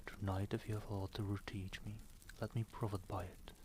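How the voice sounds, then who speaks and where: A man voicing a timid, humbled miser who speaks submissively, in a hushed storybook reading. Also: lowish voice, flat delivery, moderate pace, noisy background, natural voice. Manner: submissive, meek.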